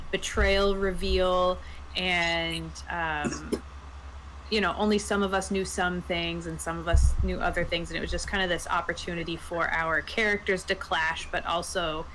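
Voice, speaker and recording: A woman's voice: fairly low pitch, fairly bright tone. A woman in a casual chat, leading a discussion of a game she played and asking questions. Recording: noisy background.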